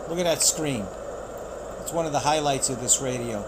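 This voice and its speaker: A man's voice: mid-range voice, moderate pace, very noisy. A man hosting a casual product unboxing, pointing things out in short, clipped phrases. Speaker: product reviewer and channel host.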